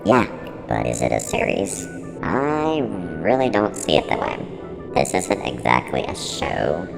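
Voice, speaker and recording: An actor playing a snarky, defensive non-human service unit who runs a horror studio, with a pitched-down, gravelly voice in a mock interview. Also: male voice, huge pitch swings, a little slow, heavily acted. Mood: scornful, amused. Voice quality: very deep, creaky, heavily reverberant. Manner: blunt, flippant, defensive. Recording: long reverberant echo, music underneath.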